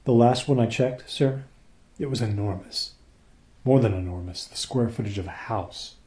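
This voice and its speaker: A man playing a dutiful crewman reporting to his captain in a radio play, earnest, measured and deferential. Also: medium pitch, mellow, close to an everyday voice. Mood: uneasy.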